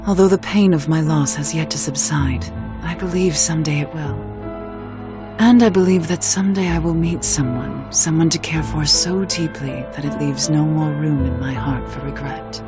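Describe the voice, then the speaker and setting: A woman playing a proud, lonely, resolute witch in a video game, with formal and measured speech. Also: deep voice, music in the background, lightly acted. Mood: wistful, hopeful. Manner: formal, measured, archaic phrasing. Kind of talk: scripted video game voice acting.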